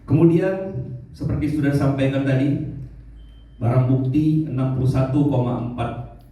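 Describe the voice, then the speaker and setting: A man giving a formal press statement as an official, in halting, measured short phrases, recorded in a very echoey room from a distant microphone. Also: medium pitch, fairly even intonation, mellow. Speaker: prosecution official briefing the press.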